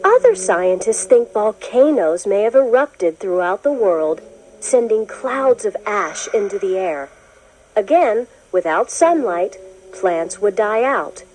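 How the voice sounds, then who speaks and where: A woman narrating a children's educational book, reading in a clear, measured, explanatory way as if to young listeners. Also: medium pitch, very animated, darkish tone, low-quality recording. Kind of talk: scripted storybook narration.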